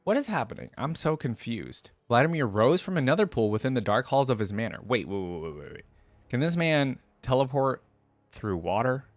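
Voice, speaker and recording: A man hosting a reaction video, reading a fantasy story aloud in an expressive style with casual asides. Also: mid-range voice, normal speed, no echo.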